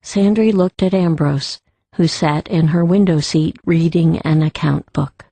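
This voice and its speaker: Female audiobook narrator: slow, measured storytelling, soft dark tone, dry close sound. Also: low voice, gravelly, no echo.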